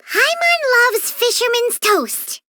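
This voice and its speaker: Squeaky little fairy girl for a video game, super high and bright, excitable, naive, kinda greedy. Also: slow, no room sound, studio quality, heavily acted. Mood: chirpy, confident. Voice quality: squeaky, childlike. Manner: refers to self in third person, exclaiming. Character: tiny childlike female fairy companion, excitable, greedy, naive.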